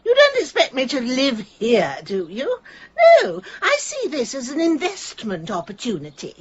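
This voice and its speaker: A woman's voice: sits high, huge pitch swings, moderate pace, muffled recording. A woman playing a snobbish, condescending village neighbour in a radio comedy, with an affected, pompous manner of speech. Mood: gushing, smug. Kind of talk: radio comedy drama acting.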